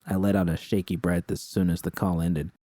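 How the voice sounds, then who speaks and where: A man's voice: low voice, flat delivery, brisk, mellow, no echo, studio quality. A man reading a first-person story aloud as scripted narration. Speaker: narrator reading fan fiction.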